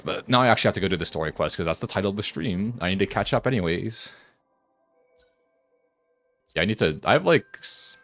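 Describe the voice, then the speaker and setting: Guy streamer w deep voice, casual rambling game commentary, dry close mic, faint music. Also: fairly bright tone, no echo. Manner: rambling, thinking aloud. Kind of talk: casual live gameplay commentary.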